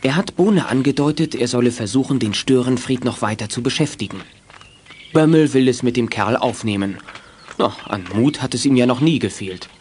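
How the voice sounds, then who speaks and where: A man narrating a scripted children's radio play in a clearly phrased storytelling manner. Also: medium pitch, normal speed, a little mellow, slight background noise.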